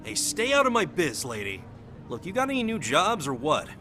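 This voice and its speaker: An actor with an American accent playing a cocky, slangy, grumbling soldier in a video game cutscene. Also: male voice, fairly high pitch, wildly swooping pitch, normal speed, music in the background. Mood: cocky, prickly. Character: cocky, gruff young male soldier, slangy thrill-seeker.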